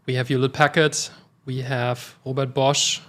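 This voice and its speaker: Man, brisk podcast host. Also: mid-range voice, little pitch movement, a bit bright. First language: German. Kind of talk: casual interview talk.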